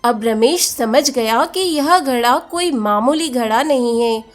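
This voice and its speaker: Slow, high-pitched woman narrating a story. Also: lively intonation, soft background music. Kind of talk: scripted moral-story narration.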